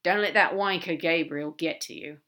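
A brash, irreverent male demon from an audiobook, as a woman narrator plays him, speaks in a lowish voice. He is blunt and casual, hitting his words with emphasis, protective and fond underneath. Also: moderate pace, bright, forceful tone. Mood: breezy, insistent. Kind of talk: audiobook character dialogue.

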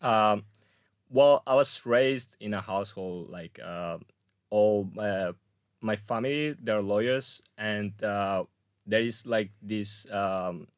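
A young man talks in a lowish voice, pausing often to search for words. His sound is close and dry, with no room around it. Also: smooth, no room sound. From Colombia. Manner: searching, with many fillers and uh's. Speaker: graduate student guest. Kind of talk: casual interview answers.

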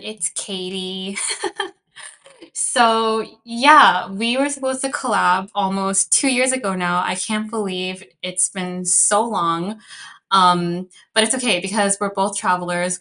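A woman's voice: medium pitch, unhurried, bright, forceful tone. A young woman from Chicago with an American accent, guest on a podcast, chatty and upbeat, laughing often as she talks. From Chicago, USA. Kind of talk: casual interview conversation.

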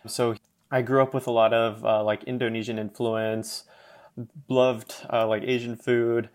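A man in his twenties from Los Angeles talks in a halting, casual way, full of fillers. He laughs easily as he chats. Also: mid-range voice, flat delivery. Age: 20s. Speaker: podcast guest. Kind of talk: casual conversation.

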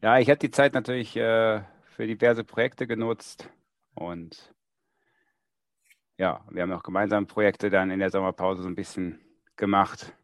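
A man's voice: on the low side, steady tone, moderate pace, dry recording. A man co-hosting a podcast in casual chat, with a dry, joking manner. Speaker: podcast co-host.

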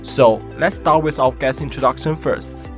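Young man, podcast host, scripted intro, measured reading, faint music behind. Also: mid-range voice, steady tone.

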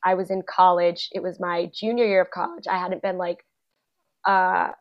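A young adult woman, a guest actor and playwright, chatting casually in an interview, full of fillers and quick laughs. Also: fairly low pitch, steady tone. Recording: dull lo-fi podcast audio.

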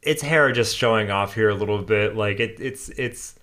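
A man's voice: fairly low pitch, moderate pace, fairly bright tone. A man co-hosting a podcast, chatting enthusiastically with lots of laughter and many fillers and restarts. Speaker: podcast co-host. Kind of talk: casual fan chat recapping an episode.

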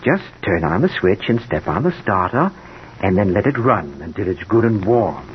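Murderous gentleman pianist in vintage radio drama: persuasive, lowish voice, widely swinging intonation. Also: big pitch swings, normal speed. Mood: patient, controlling. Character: smooth, calculating male pianist who murders his sisters. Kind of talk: old-time radio drama acting. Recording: muffled, noisy vintage radio recording.

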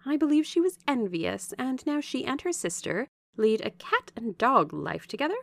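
A woman voicing a chatty, warm-hearted young married servant woman in a storybook, with a lively, familiar, gossiping manner. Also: sits high, moderate pace, vocal fry, dry recording, clean studio sound, pitched above the performer's own voice, exaggerated voice. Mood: gossipy. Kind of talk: storybook character dialogue.

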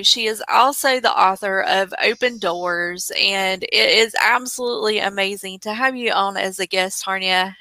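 A woman's voice: mid-range voice, sharp tone, no room sound. A woman hosting a podcast interview over a remote call, enthusiastic and effusive in casual chat. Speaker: podcast host.

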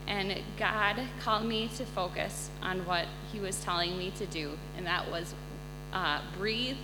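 A woman missionary giving an earnest personal testimony to an audience, halting often with pauses. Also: mid-range voice. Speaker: missionary and incoming resident assistant. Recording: echoey hall, loud electrical hum.

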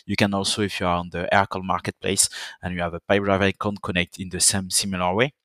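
Low, flat-voiced Frenchman. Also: no room sound, clean studio sound. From French Caribbean island. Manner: deliberate, halting second-language phrasing. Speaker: guest, partner account manager. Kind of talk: business podcast interview.

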